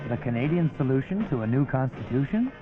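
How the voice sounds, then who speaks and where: A man's voice: medium pitch, very animated, fast. A man reading a wry, lightly humorous documentary narration as a voice-over. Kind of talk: scripted narration. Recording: tinny old 1981 film soundtrack with music.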